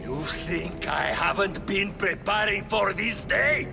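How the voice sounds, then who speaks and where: An actor playing a ruthless, cornered crime boss in hiding, ranting and menacing in a video game cutscene. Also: male voice, sits high, expressive, creaky, exaggerated voice. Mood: frantic, furious. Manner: ranting, menacing, slow delivery. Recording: music under the voice.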